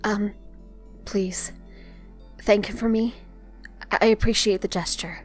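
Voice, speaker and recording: A woman's voice: medium pitch, fairly even intonation, measured pace, faint music behind, lightly acted. A woman playing an earnest, shaken young superhero in an audio drama, hesitant and apologetic. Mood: timid, grateful.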